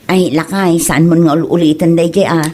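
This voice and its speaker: A woman's voice: fairly low pitch, fairly even intonation, moderate pace, darkish tone, close to an everyday voice. A woman playing a concerned, scolding village wife in an Ilocano comedy drama, with an Ilocano Filipino accent.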